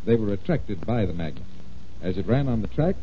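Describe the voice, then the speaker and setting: A man's voice: lowish voice, sing-song, a little fast. An actor playing a confident magician detective in 1940s radio style, explaining things patiently like a showman. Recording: dull, noisy old-time radio recording.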